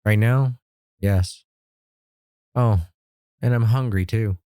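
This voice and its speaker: Man playing a slow, lazy teenage brother. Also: on the low side, warm tone, dry recording, clean studio sound. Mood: indifferent. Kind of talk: audio drama acting.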